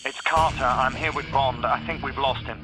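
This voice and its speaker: An actor playing an earnest field agent reporting in during a video game, hurried and deferential. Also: male voice, medium pitch, steady tone, lightly acted, rough recording. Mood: urgent. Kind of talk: video game cinematic voice acting. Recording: loud music behind.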